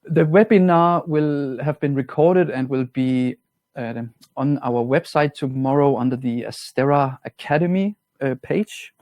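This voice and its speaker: Man with a Danish accent, mellow and dry. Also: mid-range voice, unhurried, no echo. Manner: relaxed, plain-spoken, slightly non-native grammar. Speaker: product trainer. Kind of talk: webinar software walkthrough.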